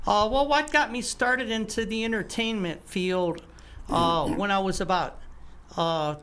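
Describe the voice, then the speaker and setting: An older man, a guest musician, telling his story in a halting, casual way with many restarts and some throat clearing. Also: sits high, fairly even intonation, a bit bright. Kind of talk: casual interview talk.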